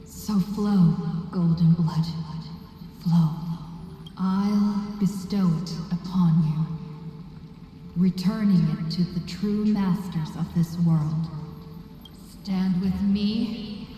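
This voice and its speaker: A woman voicing an awakened deity in a video game, solemn, humble and serene, speaking in halting, grave, ceremonial phrases. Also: fairly low pitch, fairly even intonation, dark tone. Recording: distant, cavernous reverb, faint noise.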